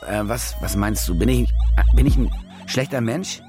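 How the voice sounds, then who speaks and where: An actor playing a cynical, self-justifying German war reporter in a radio drama, wry and rambling, with hesitations and restless bursts. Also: male voice, fairly low pitch, normal speed, over music, lightly acted. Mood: rambling, confiding. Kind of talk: naturalistic radio drama monologue and dialogue.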